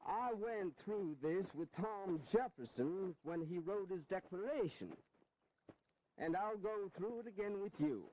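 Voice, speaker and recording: Genteel, scheming statesman, folksy and very expressive in pitch, historical drama, some noise behind. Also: a little slow, rough recording. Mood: condescending. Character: genteel, wily Southern statesman defending slavery, male. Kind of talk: historical audio drama acting.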